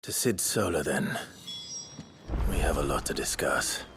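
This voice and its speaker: An actor playing a brooding, earnest young warrior in a video game, speaking solemnly and deliberately, burdened but resolute. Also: male voice, a bass voice, expressive, noisy background, heavily acted. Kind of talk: video game cutscene acting. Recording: music behind the voice.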